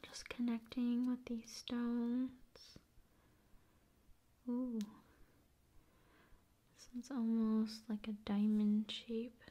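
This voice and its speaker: A woman with a fairly high, soft voice that often drops into a whisper. She speaks slowly and soothingly in an ASMR style, keeping her pitch very even. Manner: unhurried, soothing delivery with drawn-out phrases. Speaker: ASMR video creator.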